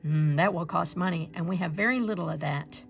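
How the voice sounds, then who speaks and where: A woman's voice: on the low side, a little fast, creaky, lo-fi sound, faint music behind, voice pushed down. A woman voicing a simple, puzzled old husband in a storybook folktale, hesitant and worried in manner.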